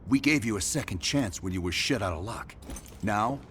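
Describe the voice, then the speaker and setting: An actor playing a gruff, ruthless American intelligence operative and war veteran, pressing and commanding, in a video game cutscene. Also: male voice, fairly low pitch, animated, normal speed, slight background noise, close to an everyday voice. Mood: angry, pressing. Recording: music behind.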